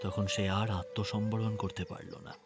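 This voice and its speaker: A man narrating a literary story in a measured, expressive, dramatic way. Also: lowish voice. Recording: music bed under narration. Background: background music.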